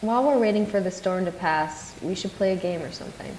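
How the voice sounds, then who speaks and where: A woman's voice: mid-range voice, fairly quick, a little mellow, lightly acted. A young woman acting as a sensible, sociable college friend in an audio drama, casual and matter-of-fact. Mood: practical. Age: young adult. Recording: echoey room, clear sizzling noise.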